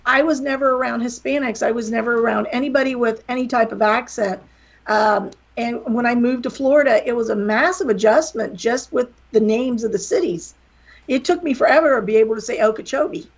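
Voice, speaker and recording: Middle-aged woman, slight Southern drawl, a bit high, gravelly edge; candid, rambling podcast guest. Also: brisk. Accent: slight Southern American. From West Virginia, USA. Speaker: guest, wrestling promoter and author. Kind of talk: casual podcast interview.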